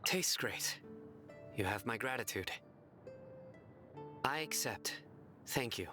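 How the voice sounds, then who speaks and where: An actor playing an intense, solemn young male desert warrior for a video game, speaking in a grave, measured, deadpan way with kindness underneath. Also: mid-range voice, faint music behind. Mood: dry, serious. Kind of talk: video game character voice lines.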